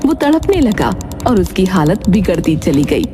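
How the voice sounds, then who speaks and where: A woman's voice: fairly low pitch, very animated, moderate pace. Female storybook narrator with music behind. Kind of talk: scripted storytelling narration for a Hindi animated moral tale.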